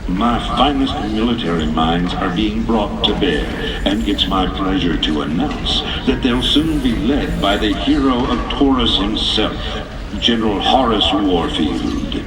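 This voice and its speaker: An actor playing an imperious emperor giving a grand, formal public address in a video game. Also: male voice, lowish voice, lively intonation, unhurried. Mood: stately confidence. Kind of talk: political speech in a game cutscene. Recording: echoey broadcast address with loud noise.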